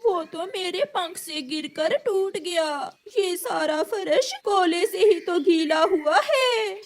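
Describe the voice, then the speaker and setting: A woman's voice: very high voice, lively intonation, takes it slowly, exaggerated voice. A woman voicing a naive, foolish crow wife in a story cartoon, with a pleading, simple-hearted manner. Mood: plaintive. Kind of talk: Hindi moral-story cartoon dubbing.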